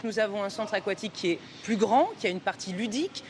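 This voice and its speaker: A woman's voice: mid-range voice, fast talker, darkish tone, a little hiss or hum. A woman speaking as a local official in an interview on location.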